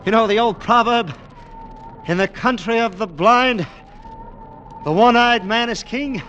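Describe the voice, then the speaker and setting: An actor playing a curious, skeptical climber in an old radio drama, asking questions and joking lightly. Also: male voice, very high-pitched, measured pace, pushed and bright, lo-fi sound, a little hiss or hum, heavily acted. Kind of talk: 1940s radio acting.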